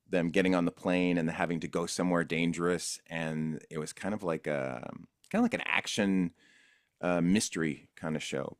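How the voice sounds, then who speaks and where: Low-voiced man, dry close sound. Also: clear, resonant tone, no room sound. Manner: easygoing, rambling storyteller. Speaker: voice actor guest. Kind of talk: casual interview recollection.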